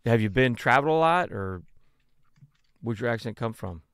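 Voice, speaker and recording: A man's voice: mid-range voice, expressive, moderate pace, fairly bright tone, clear, resonant tone, no echo, studio quality. A man hosting a podcast on a video call, asking questions in a rambling, jokey way with many restarts. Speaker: podcast host. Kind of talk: casual interview chat on a video call. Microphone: desk microphone on a video call.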